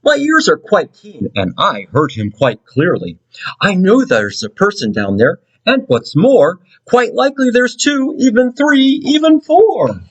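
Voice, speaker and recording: Storybook elephant, kind and protective, earnest delivery, swooping pitch, as a male reader puts him on. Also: a little slow, husky, muffled recording, heavily acted. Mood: insistent. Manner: earnest, steady and caring. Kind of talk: storybook read-aloud.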